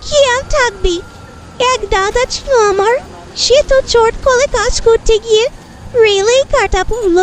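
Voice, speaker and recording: A woman voicing a poor, anxious young girl who pleads respectfully and earnestly in an animated horror story. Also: very high-pitched, a little slow. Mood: plaintive, earnest. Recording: music behind the voice.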